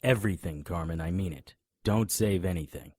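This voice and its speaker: An actor playing a tough, wisecracking monster hunter in an audio drama, grim and cocky, with a husky voice. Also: male voice, low voice, moderate pace, darkish tone, dry recording, clean studio sound. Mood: grave, urgent. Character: hard-boiled male monster hunter, grim, cocky, threatening. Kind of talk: audio drama acting with noir first-person narration.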